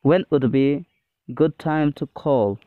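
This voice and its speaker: A man teaching a scripted English lesson, reading out phrases in a deliberate, phrase-by-phrase way. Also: fairly high pitch, no echo, narrow, tinny audio.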